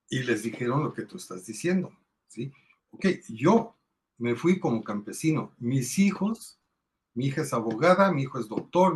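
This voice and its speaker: A male host in an online chat, speaking casually. He keeps checking in with small tag questions and fills pauses as he goes. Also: mid-range voice. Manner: conversational, with fillers and tag questions. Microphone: video call.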